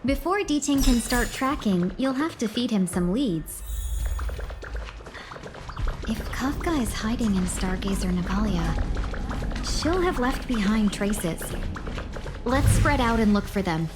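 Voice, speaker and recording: A woman's voice: a little high, expressive, a little slow, long pauses, over music. A woman voicing a sly, playful, genteel young businesswoman guide in a video game, coy and polished in manner. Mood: intent.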